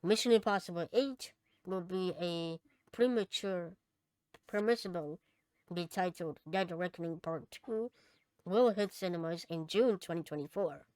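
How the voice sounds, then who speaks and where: A woman co-hosting a casual podcast, chatting and reading out news items, somewhat halting when she reads aloud. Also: on the low side, darkish tone, no echo, poor audio. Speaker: podcast co-host.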